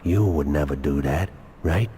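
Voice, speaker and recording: An actor playing a cold, controlling male crime boss in a video game cutscene, speaking with deliberate menace. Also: extremely low pitch, dark tone, slight background noise. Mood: cold suspicion. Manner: deliberate, threatening.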